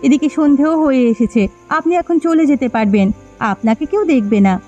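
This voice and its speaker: A woman's voice: high-pitched, animated, fairly quick, mellow. A woman voicing a caring, anxious village housewife in a moral-story cartoon. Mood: kind, cautious. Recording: dull lo-fi sound with music behind.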